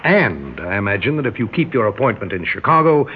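A man's voice: lowish voice, big pitch swings, slight background noise. An actor playing a slick, ingratiating businessman of dubious schemes in 1960s radio drama style. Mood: sly, smooth. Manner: chuckling, ingratiating, hesitant pauses. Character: slick, smooth-talking male tycoon of dubious schemes. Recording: dull lo-fi old radio recording.

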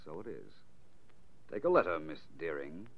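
An actor in a 1940s radio drama playing a shrewd, unflappable private detective, urbane and wry. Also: male voice, very deep voice, sing-song, normal speed, vocal fry, exaggerated voice. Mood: playful, dry. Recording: muffled vintage 1940s radio recording.

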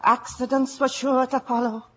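An actress playing a knowing old Irish village woman in a radio drama, speaking gravely and portentously. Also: high voice, little pitch movement, normal speed, natural voice. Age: older. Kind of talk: 1950s radio drama acting. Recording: dull, noisy old radio recording.

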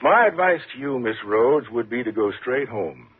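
An actor playing a calm, measured, patronizing prison warden in a 1950s radio thriller. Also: male voice, mid-range voice, slightly rough. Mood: reassuring. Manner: measured, reassuring, condescending. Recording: muffled, lo-fi 1950s radio recording.

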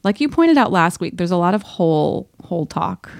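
A woman co-hosting a podcast in casual chat, retelling things in a wry, animated, slangy way. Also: on the low side, a little fast, vocal fry, no echo, studio quality. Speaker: podcast co-host. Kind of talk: casual book-discussion chat.

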